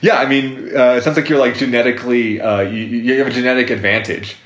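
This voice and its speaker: A man's voice: medium pitch, steady tone, fairly quick, fairly bright tone, roomy sound. A man talking casually as a guest psychotherapist, rambling with lots of fillers and restarts. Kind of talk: casual interview talk.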